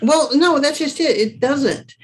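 A woman's voice: high voice, measured pace. A woman in a casual panel conversation, explaining things and correcting herself as she goes.

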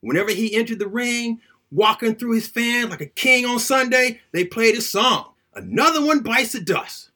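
A man reading a first-person story aloud in an animated, conversational, colloquial way, like someone recounting childhood memories. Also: extremely high pitch, moderate pace.

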